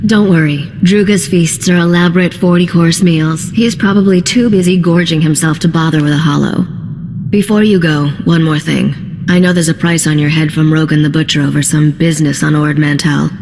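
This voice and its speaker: A woman's voice: deep voice, fairly even intonation, normal speed, darkish tone, in a large hall, a little hiss or hum, poor audio. A woman playing a confident, wry female smuggler contact in a scripted game cutscene. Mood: reassuring, cautioning.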